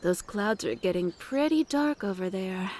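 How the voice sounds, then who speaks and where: A woman's voice: mid-range voice, expressive, moderate pace, darkish tone, close to an everyday voice. A woman playing a flirtatious, mature, motherly cabin owner in an ASMR roleplay, coaxing and doting with pet names. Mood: wistful.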